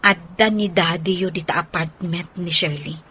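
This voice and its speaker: A woman playing a concerned, protective adult in a radio drama, speaking in a reassuring, measured way. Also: fairly low pitch, fairly even intonation, bright, forceful tone, husky.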